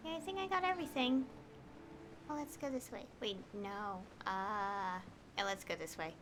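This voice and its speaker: A woman's voice: mid-range voice, moderate pace, background noise. A woman doing casual live game commentary, rambling and thinking aloud as she plays. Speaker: game streamer. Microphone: headset. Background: game music.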